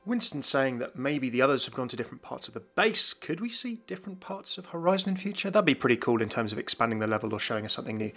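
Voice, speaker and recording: A man's voice: mid-range voice, very animated, quick pace, hardly any pauses, fairly bright tone. A man hosting a gaming news video in scripted, explanatory voice-over narration. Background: music.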